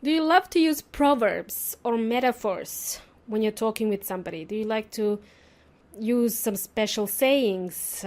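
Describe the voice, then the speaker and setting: A woman whose native language is Serbian, hosting an English-teaching podcast in an upbeat, playful and chatty way, often asking her listeners questions. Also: a little high. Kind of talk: solo teaching podcast monologue.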